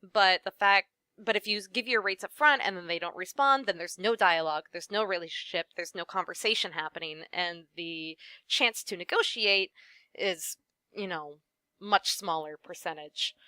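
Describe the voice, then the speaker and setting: A woman with a low-set but bright, crisp voice talks through advice in a relaxed interview. She weighs her points as she goes, and her sentences often fade softer at the end. The sound is close and dry, with no room around it. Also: normal speed, dry recording. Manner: thoughtful, advising, trails off softly at ends. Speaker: voice actress, guest. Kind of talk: casual interview chat.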